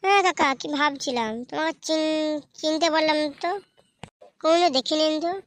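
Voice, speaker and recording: A man's voice: extremely high pitch, fairly even intonation, brisk, a bit bright. A man voicing a brash, irritable young village man in a comedy cartoon, foul-mouthed. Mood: explaining. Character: brash young village man, runaway son back with his bride. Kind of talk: comedy cartoon dubbing in colloquial village speech.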